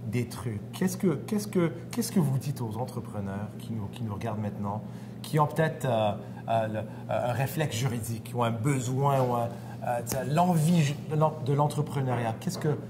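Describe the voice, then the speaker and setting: A man's voice: medium pitch, normal speed. Male interviewer with noisy background. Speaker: interviewer and host. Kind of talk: casual on-location business interview. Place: restaurant. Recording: clear background noise and hum.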